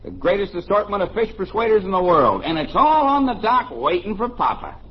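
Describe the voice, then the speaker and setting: A man's voice: high voice, very animated, a little fast, slightly rough, exaggerated voice. A man playing a henpecked, eager husband and father in an old-time radio comedy, apologetic and prone to stammering. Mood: excited. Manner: apologetic, stammering under his wife's scolding. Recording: muffled old radio recording.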